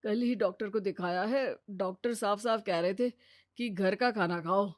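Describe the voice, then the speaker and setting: A woman's voice: medium pitch, moderate pace, dry recording, clean studio sound, lightly acted. A woman plays a young husband in a moral-story cartoon. He sounds fed up and complains with pointed sarcasm. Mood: complaining.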